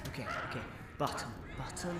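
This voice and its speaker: A man's voice: mid-range voice, a little fast, close to an everyday voice. A man playing a resourceful young hero who thinks aloud in a wry way. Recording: echoey, distant, noisy.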